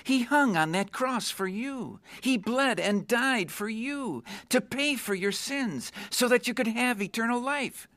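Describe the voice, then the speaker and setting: A man's voice: very high-pitched, animated, measured pace, hardly any pauses, fairly bright tone, no room sound, heavily acted. A male actor playing an earnest, newly saved young man in a radio drama, solemnly and fervently reciting scripture. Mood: fervent, gentle urging.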